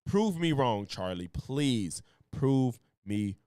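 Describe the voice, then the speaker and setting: A man's voice: mid-range voice, big pitch swings, slow, dark tone, clean tone, dry recording. A man doing live reaction commentary in a heated, profane, slangy manner. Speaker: political commentary streamer.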